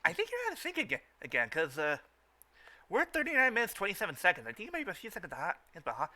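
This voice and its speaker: A man's voice: high voice, huge pitch swings, very fast, bright, forceful tone. A man giving casual, exclamatory live commentary as he hosts a cartoon watch-along, with the show's audio behind him. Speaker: host of a group riff watch-along. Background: cartoon episode audio.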